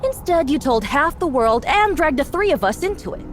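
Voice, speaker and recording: A proud, challenging thief from a video game. Her highish voice is lively and sardonic, with music underneath. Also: moderate pace. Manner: sardonic, probing. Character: shrewd, proud, challenging female master thief. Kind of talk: dramatic video game cutscene acting. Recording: music behind the voice.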